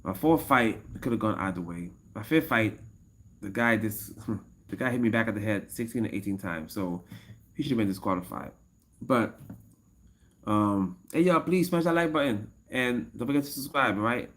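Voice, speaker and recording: A man's voice: lowish voice, lively intonation, normal speed, a bit bright. A man of fifty-one talking casually to his livestream chat as an MMA coach, rambling and repeating himself and laughing often. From United States. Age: 50s. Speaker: MMA fighter and coach streaming live.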